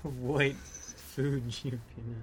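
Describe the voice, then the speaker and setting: This man co-hosts a homemade radio show in a casual, wry way, dropping deadpan quips. His pitch is middling, with faint background noise behind him. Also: drawn-out words. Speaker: co-host. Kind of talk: casual chat.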